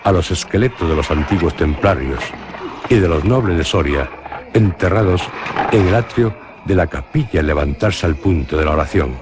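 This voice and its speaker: Deep-voiced Spanish man narrating a horror legend. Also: expressive, noisy background. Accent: Spanish from Spain. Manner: slow, measured, solemn storytelling. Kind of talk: dramatic radio reading of a horror legend. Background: music.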